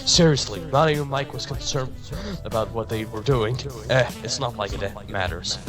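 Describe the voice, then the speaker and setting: Man reading a story over loud music. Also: medium pitch, normal speed. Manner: stumbles and repeats words while reading. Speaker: reader of fan fiction. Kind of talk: dramatic fan fiction reading. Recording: music behind the voice.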